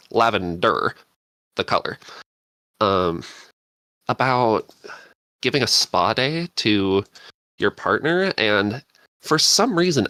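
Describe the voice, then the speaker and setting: A man with a fairly low but bright, forward voice tells stories casually as a podcast guest. He moves through his thoughts in halting, reflective phrases, and the sound is close and dry. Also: no echo. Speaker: panel guest, script writer.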